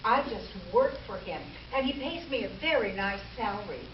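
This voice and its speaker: Poised female radio star played by an actress, slightly high voice, vintage comedy delivery, echoey noisy hall. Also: expressive, normal speed, lightly acted. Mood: poised, dry. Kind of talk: 1940s radio comedy acting. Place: live stage show before an audience. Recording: echoey stage recording, distant, noisy, dull.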